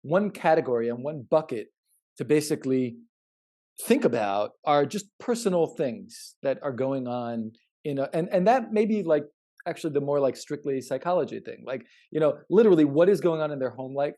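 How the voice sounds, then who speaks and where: A podcast co-host in casual conversation, reasoning aloud in a halting way with hedges and repeated words. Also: medium pitch, animated, mellow. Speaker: podcast co-host discussing own research.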